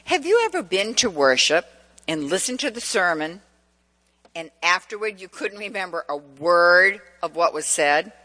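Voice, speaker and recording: A woman's voice: on the low side, pushed and bright. A woman with a central Massachusetts accent preaching a sermon, asking the congregation questions and telling personal stories. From New England. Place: church. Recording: long church reverb.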